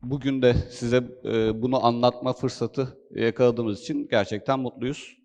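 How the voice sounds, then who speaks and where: A man's voice: medium pitch, fairly even intonation, moderate pace, a little mellow. A man summarizing a colleague's talk at a conference, hesitant with many fillers. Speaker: presenter, consultant. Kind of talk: conference presentation. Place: conference hall. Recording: long hall reverb.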